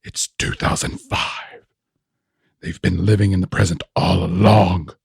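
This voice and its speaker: A man playing a muddled filmmaker in a comic radio sketch, pitching ideas haltingly in a gravelly, husky voice. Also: fairly even intonation, no echo, clean studio sound, exaggerated voice. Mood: earnest pitching. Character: male filmmaker obsessed with plot twists, muddled, brainstorming aloud. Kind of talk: comic audio sketch acting.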